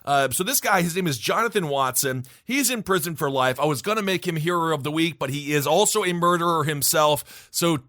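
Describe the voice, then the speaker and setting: Lively Midwestern man telling stories. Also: a little high, fairly quick, hardly any pauses, no room sound, clean studio sound. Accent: Midwestern American. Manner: jokey, rambling storyteller. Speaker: podcast co-host. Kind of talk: casual comedic chat.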